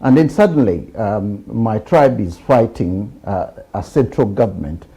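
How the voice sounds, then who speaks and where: Ugandan man, middle-aged studio talk host, dark and husky, presses his point. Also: expressive, unhurried. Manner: gestures and pushes back, gets louder and higher when pressing a point. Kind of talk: studio discussion.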